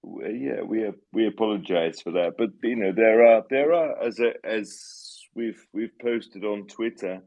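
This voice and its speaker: A man with a lowish voice hosts a live online audio chat at a slow, unhurried pace. He stumbles through restarts and fillers, sounding apologetic with a wry edge. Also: vocal fry, a little mellow. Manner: halting, many restarts and fillers. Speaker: AMA host. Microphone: online audio call.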